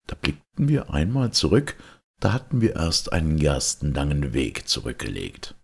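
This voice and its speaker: A man with an extremely deep, husky voice narrates a fairy tale. He takes his time and delivers it theatrically, with wide swings in pitch. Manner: theatrical, deliberate. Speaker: storyteller, reader.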